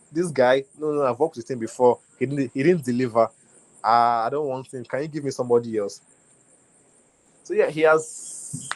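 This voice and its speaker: A man's voice: mid-range voice, poor audio. Man, young adult, Nigerian accent, community lead on a call, casual, repeats himself, lots of "like". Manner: repetitive, restarts phrases, many fillers like "like".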